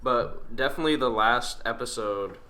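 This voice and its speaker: Dry-humored young man, bright voice. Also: medium pitch, normal speed. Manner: dry, joking. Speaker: podcast co-host. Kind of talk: casual chat.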